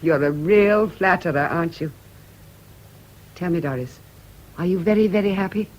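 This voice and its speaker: A woman's voice: fairly low pitch, moderate pace, natural voice. A woman playing a genteel, controlled lady in a radio drama, politely gushing and slyly pointed. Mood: sweetly barbed.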